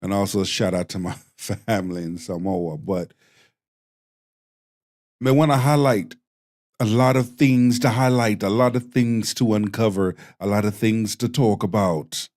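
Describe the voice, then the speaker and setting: A man's voice: lowish voice, a little mellow. A man with a Jamaican accent hosting a stream, commenting bluntly on clips like a preacher. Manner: slow, preachy and blunt, with profanity. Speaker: host.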